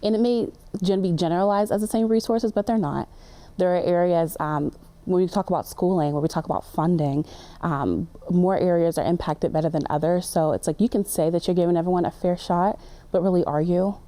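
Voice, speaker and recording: A woman's voice: on the low side, fairly quick, mellow. A woman panelist speaking directly about unequal resources and posing pointed questions. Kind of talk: panel discussion.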